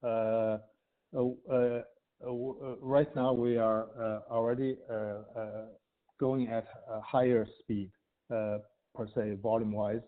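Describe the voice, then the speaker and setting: A man answering questions as a company executive on an earnings call, speaking haltingly and hesitantly in short broken phrases. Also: mid-range voice, flat delivery. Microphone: conference call line. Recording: tinny, narrow phone line.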